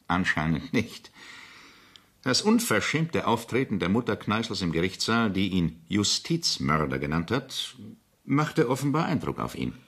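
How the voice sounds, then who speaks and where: An actor playing a deferential, formal state official advising royalty in a German radio play, husky and insinuating. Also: male voice, fairly low pitch, very animated, measured pace, fairly bright tone, full character voice.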